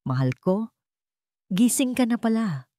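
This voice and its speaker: Woman voicing a smooth, scheming Filipino fiancé. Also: on the high side, sing-song, measured pace, dark tone, no echo, studio quality, pitched above the performer's own voice. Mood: falsely tender. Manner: smooth, sugary, calculating. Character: scheming, falsely tender fiancé, a greedy man. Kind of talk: audio storybook dialogue.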